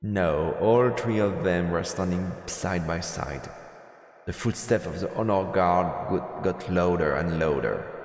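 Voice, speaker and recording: Male streamer, low pitch, dramatic story narration, long echoing reverb, music behind. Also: a little slow. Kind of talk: reading visual novel narration aloud. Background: game music.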